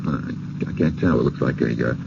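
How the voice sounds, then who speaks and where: An actor playing a blunt, impatient, sardonic husband who believes none of it, in a radio drama. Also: male voice, extremely low pitch, normal speed, creaky, background noise, exaggerated voice. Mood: firm, dismissive. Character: skeptical, blunt, impatient husband, ordinary city man. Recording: dull old radio recording.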